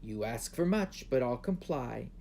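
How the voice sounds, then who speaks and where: A man's voice: medium pitch, animated, a little slow, vocal fry, warm tone, a little hiss or hum. A man voicing a proud, smitten and vengeful storybook dragon who speaks and threatens in rhyme.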